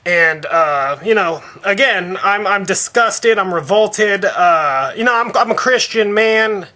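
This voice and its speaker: A man acts out an indignant, devout father in a prank phone complaint. His voice sits high with a bright, sharp edge. He stammers, stalls and circles back over his grievances. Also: lively intonation, exaggerated voice. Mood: disgusted, halting. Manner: halting, stammering, repetitive. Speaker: prank caller.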